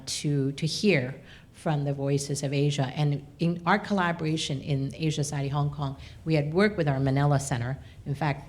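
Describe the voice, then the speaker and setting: A woman speaking unscripted at a panel event as an arts organisation leader, in a measured, halting way. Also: low voice, darkish tone.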